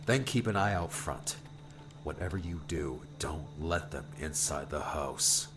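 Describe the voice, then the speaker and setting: A man voicing a troubled, hurried young male adventurer in an audiobook, speaking earnestly and urgently, often hushed. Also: a bass voice, animated, voice pushed down. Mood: urgent, determined. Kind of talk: audiobook character acting.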